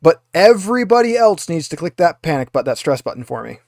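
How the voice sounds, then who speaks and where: Higher-pitched man as game master, dry close sound. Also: big pitch swings, quick pace, gravelly, a little mellow, no room sound. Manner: instructional, guiding players step by step. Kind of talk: running a tabletop roleplaying game, explaining rules.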